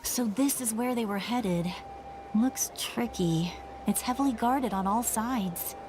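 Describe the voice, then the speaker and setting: A woman's voice: fairly high pitch, slow, music in the background. A woman playing a spirited, stubborn young female adventurer in a video game, earnest and insistent. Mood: concerned, curious. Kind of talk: video game dialogue acting.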